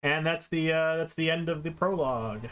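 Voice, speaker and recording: A man's voice: on the high side, normal speed, a bit bright, clear, resonant tone. A man livestreaming, giving casual, chatty commentary on a game he is playing. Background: game music.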